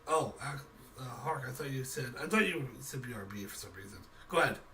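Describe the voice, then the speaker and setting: A man improvises as game master of a tabletop session, halting and casual. His voice is bright and medium-pitched, caught a little away from the mic with faint noise behind. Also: animated, recorded from a distance.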